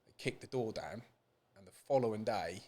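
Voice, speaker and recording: Lowish-voiced British man, speaking candidly. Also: moderate pace, warm tone. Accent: British English. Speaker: podcast guest, support group founder. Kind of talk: candid personal interview.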